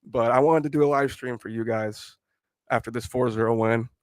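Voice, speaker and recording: A hoarse young man who has lost his voice while sick, rambling opinions on a casual live stream. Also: medium pitch, steady tone, normal speed, no room sound. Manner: rambling, opinionated, filler-heavy. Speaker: fan channel host.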